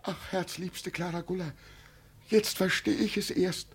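An actor in a 1950s German radio play playing a mad old father who believes himself an emperor, pleading and solemn in a husky, creaky voice. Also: high-pitched, fairly even intonation, unhurried. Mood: protective fervour. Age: older. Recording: vintage 1950 radio recording.

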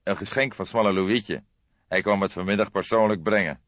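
A man voicing a grey-haired veteran police detective in an audiobook, calm, pensive and measured, explaining things with solemn deliberation. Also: fairly low pitch, natural voice. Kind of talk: audiobook character dialogue. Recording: dull lo-fi audiobook, roomy echo.

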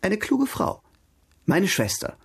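Lebhafter junger Gentleman, belegte Stimme. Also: high-pitched, wildly swooping pitch, takes it slowly, creaky, breathy edge, no echo, studio quality, heavily acted. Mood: playful, coaxing. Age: young adult. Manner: polite, eloquent, teasing. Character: charming, eloquent young gentleman, lively and playful. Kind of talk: radio drama dialogue, period literary adaptation.

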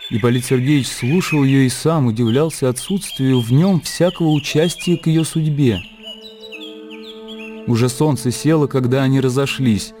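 A man narrating a literary radio drama in a measured storytelling manner. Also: mid-range voice, dark tone.

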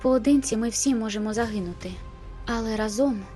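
Lady reader as vampire seer girl, smug but soothing, mellow voice, sure of herself, audiobook. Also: on the high side, a little fast, faint music behind, close to an everyday voice. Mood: soothing, confident. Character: self-assured, cool female vampire seer.

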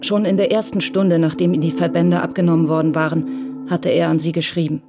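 A narrator reading a crime radio play in a measured, even way. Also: mid-range voice, over music. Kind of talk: scripted narration.

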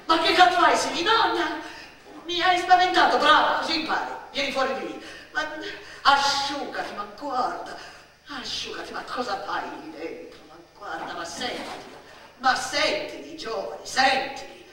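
A woman's voice: sits high, expressive, voice pushed up. A woman playing a brash, mocking older female relative in a theatrical radio monologue, scolding sarcastically with repeated commands. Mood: startling, angry, then mocking amusement. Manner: loud, sarcastic, rapid-fire repeated commands. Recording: echoey stage sound, distant, faint noise.

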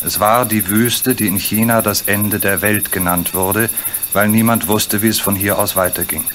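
Erzähler mit tieferer Männerstimme. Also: male voice, lowish voice, fairly even intonation, moderate pace, fairly bright tone, a little hiss or hum. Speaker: narrator. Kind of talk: scripted radio play storytelling narration.

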